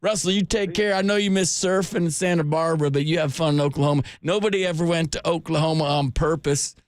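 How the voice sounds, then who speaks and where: The joking American man with a lightish, higher voice you'd hear bantering with callers from a snug, dead-sounding sports radio booth. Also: fairly even intonation, fast talker, dry recording, studio quality. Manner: informal, joking, slangy. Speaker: sports radio call-in host. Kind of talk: casual chat with callers. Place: radio studio. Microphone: close studio microphone.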